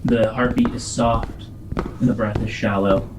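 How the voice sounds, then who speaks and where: A man running a tabletop roleplaying game, telling the story to the players in a halting, deliberate way. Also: lowish voice, steady tone, background noise. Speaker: game master.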